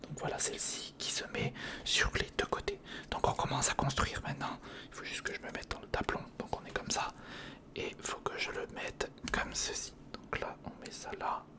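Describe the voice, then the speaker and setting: A man whispering an informal ASMR narration of hands-on work, thinking aloud and softly repeating words, close to the microphone. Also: normal speed. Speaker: ASMR video creator. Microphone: close recorder or studio microphone.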